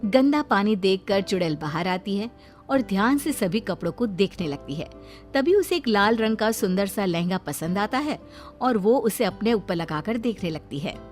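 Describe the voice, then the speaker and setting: Female storyteller, medium pitch, scripted children's tale narration, faint background music. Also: animated, moderate pace, darkish tone.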